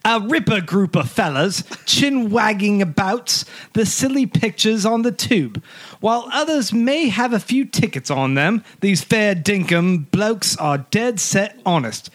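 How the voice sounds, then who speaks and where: A man's voice: sits high, very animated, a little slow, a bit bright, full character voice. A man doing a clumsy, hammy put-on Australian bloke accent while reading a review on a podcast. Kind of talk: podcast comedy bit.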